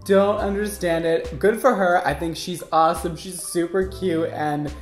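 A man in his twenties giving casual, exclamatory reaction commentary to camera in a campy, animated manner. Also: high voice, moderate pace, reverberant, faint music behind. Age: 20s. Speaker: hairdresser and video host. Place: indoor studio with paper backdrop.

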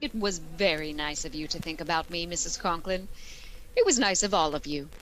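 A woman playing a wisecracking English teacher in old-time radio comedy style, with dry, deadpan wit. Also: on the low side, normal speed, slight background noise, close to an everyday voice, poor audio. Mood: polite, evasive. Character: wry, wisecracking adult female English teacher.

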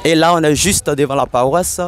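A man's voice: on the high side, normal speed. A young man with a Togolese French accent presenting street interviews to camera on a handheld mic outdoors. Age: young adult. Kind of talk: vox pop presenting to camera. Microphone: small handheld mic held at mouth.

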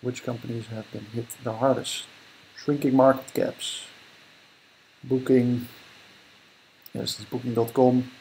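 Gravelly Dutch man thinking aloud. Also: mid-range voice, little pitch movement, measured pace, long pauses, mellow, a little hiss or hum, rough recording. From Netherlands. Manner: thinking aloud, rambling, self-correcting. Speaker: investing vlogger. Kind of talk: casual screen-recording commentary.

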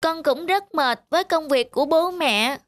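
Woman voicing a very high-pitched little boy. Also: normal speed, dry recording, lightly acted. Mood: weary. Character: little boy, eager then weary and whining. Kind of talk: dubbed cartoon acting. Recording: music under the voice. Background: background music.

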